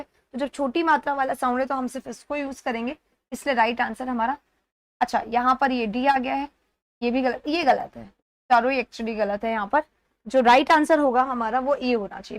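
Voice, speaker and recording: Indian accent lady teacher, pretty high voice, dry close sound, explains exam stuff step by step n repeats key words. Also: dry recording. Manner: instructive, repeats key words and checks understanding. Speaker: English teacher.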